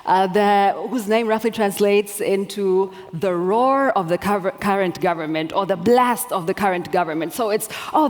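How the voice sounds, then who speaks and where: Woman moderator from tanzania, brisk and clear, mid pitch, big hall reverb. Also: darkish tone. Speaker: panel moderator. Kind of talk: moderating a conference panel. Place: conference hall with live audience. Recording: long reverb of a conference hall.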